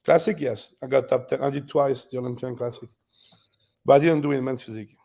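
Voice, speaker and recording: A man with a French accent recounts his story as an interview guest. His voice is gravelly and mellow, with a steady middle pitch. He talks in an easy, relaxed way and laughs often. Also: quick pace. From France. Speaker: guest bodybuilder.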